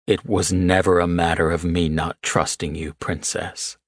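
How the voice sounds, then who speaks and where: A man voicing a gruff, guilt-ridden man who speaks softly and tenderly. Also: low-pitched, flat delivery, unhurried. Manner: soft, gruff.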